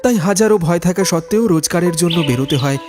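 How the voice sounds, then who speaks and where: A man's voice: high voice, fast talker, music in the background. A man narrating a ghost story from a script in a dramatic style.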